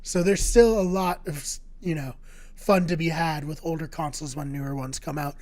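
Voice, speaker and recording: A man co-hosting a casual video game podcast, his voice going hoarse, talking in an upbeat, jokey way with rambling anecdotes. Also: on the high side, normal speed, darkish tone. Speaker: podcast co-host. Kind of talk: casual chat.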